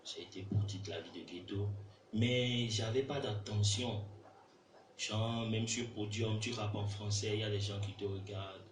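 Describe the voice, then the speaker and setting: A man's voice: lowish voice, steady tone, normal speed, a little hiss or hum. A man with a Togolese French accent giving casual, colloquial answers as a guest rapper in a radio interview. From Togo. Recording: echoey room, distant mic, faint music.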